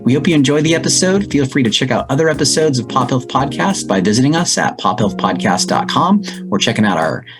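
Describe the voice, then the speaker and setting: An enthusiastic man hosts a podcast interview. His voice sits in an ordinary middle pitch. Guitar music plays clearly under his intro. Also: expressive, fairly quick, few pauses. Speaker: podcast host.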